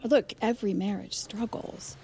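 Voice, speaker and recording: A woman playing an excitable, giggly married girlfriend in an audio drama, with a gossipy, animated delivery. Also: mid-range voice, normal speed, gravelly, breathy edge. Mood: soothing, earnest. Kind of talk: naturalistic audio drama dialogue. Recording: music playing behind the voice.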